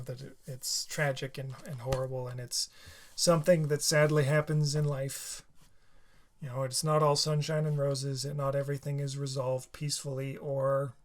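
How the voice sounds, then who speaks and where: Tired man rambling in a reaction video. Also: medium pitch. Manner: halting, many restarts and fillers. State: tired, slightly sore throat. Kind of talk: casual rambling commentary.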